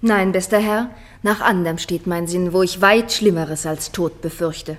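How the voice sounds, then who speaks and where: An actress playing a gentle, humble young page boy, speaking formal verse earnestly in a classical radio play. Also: on the low side, measured pace, lightly acted. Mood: humble, troubled.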